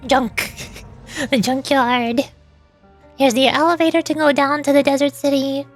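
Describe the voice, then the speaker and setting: A woman's voice: high voice, steady tone, unhurried, faint music behind. A woman streamer rambling casually, explaining things in a chatty way with playful asides.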